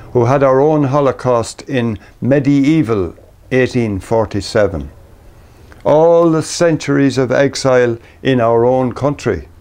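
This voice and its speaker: Slow, deliberate Irish man reading poetry. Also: medium pitch, a little mellow. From Ireland. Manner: measured, deliberate, with pauses between short phrases. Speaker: poet reading own work.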